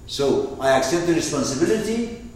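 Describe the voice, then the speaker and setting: A man's voice: on the high side, expressive, a little fast, a little mellow. A man with an Arabic accent explaining terms to a class, rambling and asking the room questions. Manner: digressive, asks the room questions. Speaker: teacher. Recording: roomy, far from the mic.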